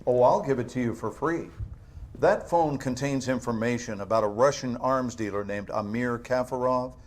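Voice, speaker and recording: Guy playing a cool interrogator in a game, measured and dry, a bit sarcastic. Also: mid-range voice, close to an everyday voice. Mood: cool questioning. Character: calm, probing male government interrogator. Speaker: interrogating agent.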